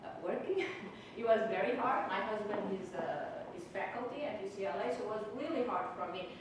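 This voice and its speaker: A woman's voice: medium pitch, lively intonation, fairly quick. A middle-aged Portuguese woman, a native Portuguese speaker with an accent, giving a chatty, personal tax talk to students with many fillers. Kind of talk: informal lecture to students. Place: campus lecture room. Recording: echoey hall from far off with noise.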